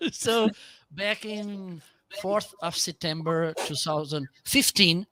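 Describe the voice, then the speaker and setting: Portuguese-accented male host, slow, bright, laughs a lot, faint music bed. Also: high-pitched, no room sound. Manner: laughs often, playful and animated. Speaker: live stream host. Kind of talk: live stream chat.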